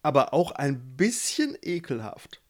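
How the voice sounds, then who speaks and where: High sing-song giant toad lady in a cave, kind but blunt, a man doing her. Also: very animated, a little slow. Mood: awkward. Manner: chanting rhymes, chatty, hesitant.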